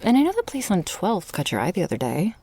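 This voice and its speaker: A woman playing a guarded but loving shark girl in an ASMR roleplay, speaking softly and intimately with frequent sighs. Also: on the low side, huge pitch swings, fast, no room sound, exaggerated voice. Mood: warm, relaxed. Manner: soft, breathy, intimate, often sighing. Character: guarded, loving shark girl, former freak show performer.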